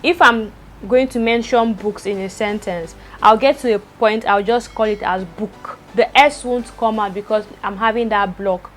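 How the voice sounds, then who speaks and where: A young woman vlogging from home, talking very fast with a stutter and repeating words. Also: mid-range voice, faint music behind. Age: young adult. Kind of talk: personal vlog talk to camera. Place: indoors at home, rain outside.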